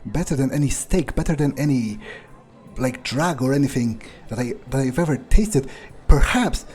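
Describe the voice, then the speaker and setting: A man doing an improvised in-character gameplay monologue, thinking aloud in a halting, musing way. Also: mid-range voice, animated, darkish tone, soft background music. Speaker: gamer playing in character.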